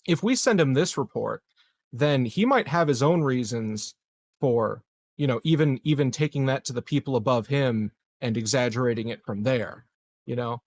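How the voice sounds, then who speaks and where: A man's voice: medium pitch, normal speed, darkish tone. A man chatting casually as a player in a tabletop game, speculating and arguing his points.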